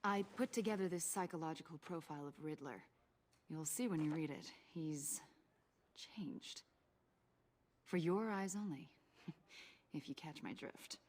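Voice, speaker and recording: An actress playing an eager, chatty intelligence agent in a video game, breezy and conspiratorial. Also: female voice, low voice, a little slow, long pauses, a little mellow. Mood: eager, confiding. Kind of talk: dramatic video game acting.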